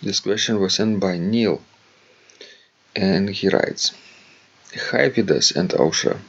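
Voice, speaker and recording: A man's voice: deep voice, expressive, slow, creaky, a bit bright, slightly rough, lo-fi sound. A man from Lithuania with a Lithuanian accent, co-hosting a podcast in casual, hesitant chat with many fillers and reading out a listener's letter. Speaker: podcast co-host.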